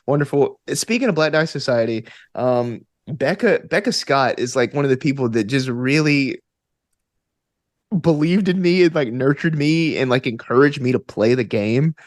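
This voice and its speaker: A man's voice: mid-range voice, lively intonation, normal speed, no echo, studio quality. An American man talking casually and enthusiastically as an interview guest, telling stories in an informal way. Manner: enthusiastic, informal, punctuated with "like" and "you know". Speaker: interview guest, actor and game master. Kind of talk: casual conversational storytelling.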